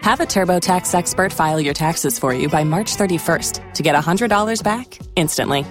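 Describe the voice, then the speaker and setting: A woman's voice: on the low side, brisk. An American woman doing a scripted commercial voice-over. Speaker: advertisement voice-over. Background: loud music.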